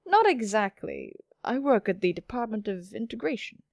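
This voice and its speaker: A woman voicing an eager, friendly young male vampire in a game, chatty, smooth and insistent. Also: on the high side, sing-song, normal speed, a little mellow, no room sound, studio quality. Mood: pleased.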